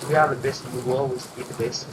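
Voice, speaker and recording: A man's voice: medium pitch, level tone, quick pace. Hesitant man on a distant, noisy old tape. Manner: hesitant, full of ums, boastful. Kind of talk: casual interview chat.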